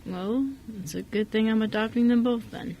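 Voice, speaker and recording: A woman playing a kindly, courteous silver-haired man in an amateur radio play, speaking calmly. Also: fairly high pitch, moderate pace, close to an everyday voice, low-quality recording. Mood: calm, polite. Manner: calm, courteous.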